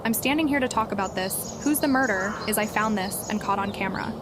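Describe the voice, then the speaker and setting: A woman's voice: mid-range voice, fast talker, close to an everyday voice. Woman as news eyewitness, very noisy recording. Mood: reporting. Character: female eyewitness giving a statement to the news. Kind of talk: scripted witness statement.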